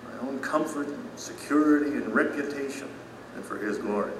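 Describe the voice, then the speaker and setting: An actor playing a humble, remorseful citizen in an audio drama, earnest and confessional, in an echoing hall. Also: male voice, medium pitch, recorded from a distance, a little hiss or hum, natural voice. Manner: earnest, confessional, thoughtful pauses. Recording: echoey hall, music behind.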